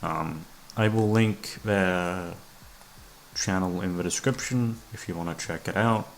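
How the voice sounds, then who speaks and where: A man's voice: deep voice, a little slow, slight background noise, poor audio. A young man chatting casually to his listeners, rambling in a hesitant, sweary, self-deprecating way. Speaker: voice actor, channel creator. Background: soft background music.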